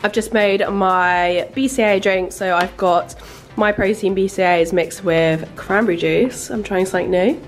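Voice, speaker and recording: Croaky young woman vlogging. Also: mid-range voice, fairly even intonation, normal speed, soft background music. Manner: chatty, teasing, laughs often. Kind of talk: casual vlog chat.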